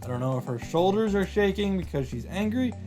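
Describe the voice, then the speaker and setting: A man reading visual novel text aloud and adding his own animated reactions and summary commentary. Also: high voice, a little fast, faint music behind. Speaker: visual novel streamer and narrator.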